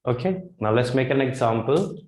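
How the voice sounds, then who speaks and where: A man with an Indian English accent teaches vocabulary in a classroom that rings with echo. His voice sits at an ordinary middle pitch. He says each phrase slowly, often twice, and keeps asking "right?". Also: animated, a little mellow. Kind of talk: whiteboard vocabulary lesson. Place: classroom in front of a whiteboard. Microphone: lapel mic. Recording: echoey classroom.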